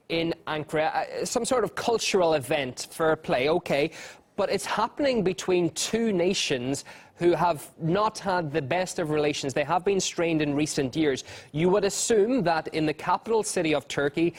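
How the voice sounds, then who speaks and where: A male news anchor interviewing a guest live, asking pointed questions in a brisk, conversational way. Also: on the high side.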